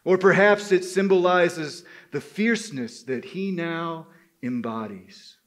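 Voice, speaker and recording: Male oral storyteller, unhurried and emphatic, slightly high voice, addressing his listeners directly. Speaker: storyteller at a men's gathering. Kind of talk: live oral folktale telling.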